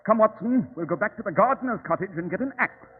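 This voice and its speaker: Male, as authoritative radio-drama sleuth; formal, lively intonation, thin noisy vintage recording. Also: high voice, quick pace, husky, narrow, tinny audio. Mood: intent, dramatic. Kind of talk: old-time radio drama acting.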